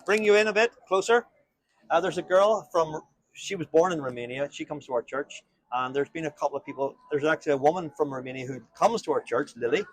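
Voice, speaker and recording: A man's voice: a little high, fairly quick, no room sound, low-quality recording. A man speaking as a street evangelist, explaining earnestly and informally, often putting questions to his listener. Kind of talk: informal street preaching and conversation. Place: outdoors on a town street.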